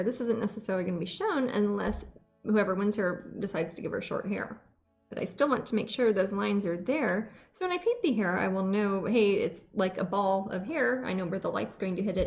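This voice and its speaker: A woman's voice: mid-range voice, lively intonation, a little fast, gravelly, muffled recording, soft background music. A woman painting on a live stream, chatting and explaining her work as she goes. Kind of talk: casual chat while painting.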